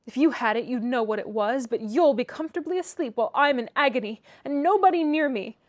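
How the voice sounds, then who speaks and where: A woman's voice: high voice, expressive, fairly quick, dry recording. A sickly, spiteful teenage boy, as a woman reader puts him on, whining and petulant in a raised, strained voice. He sulks and taunts by turns, always sorry for himself. The sound is close and dry. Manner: petulant, whimpering.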